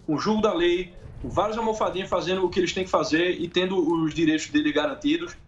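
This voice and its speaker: A Brazilian man with a noticeably high voice answers as an interview guest. He talks colloquially and haltingly, often ending phrases with small tags. The audio is slightly dull and muffled. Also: steady tone. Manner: colloquial, halting, frequent tag questions. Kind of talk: casual interview answers, anecdotes.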